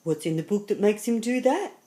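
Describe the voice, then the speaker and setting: A woman's voice: medium pitch, lively intonation, a little fast, dark tone, pitched above the performer's own voice, close to an everyday voice. Storybook stone lion, male, wistful and tender-hearted, earnest delivery, played by a woman reader. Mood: wistful longing. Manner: earnest, yearning. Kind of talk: storybook character voice.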